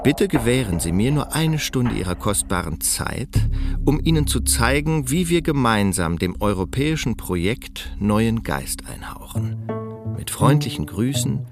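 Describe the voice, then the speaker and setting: Lively, earnest male idealist. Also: mid-range voice, a little slow, over music. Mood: earnest, hopeful. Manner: earnest, formal, persuasive. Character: eager, courteous, persistent idealist and language enthusiast. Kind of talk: German radio play acting.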